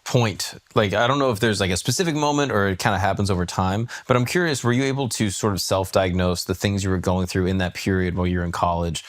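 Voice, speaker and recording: A man's voice: sits low, fast talker, few pauses, no echo. A man co-hosting a podcast, asking probing, carefully hedged questions on a studio mic. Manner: probing, hedging. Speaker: podcast co-host. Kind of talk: interview questions.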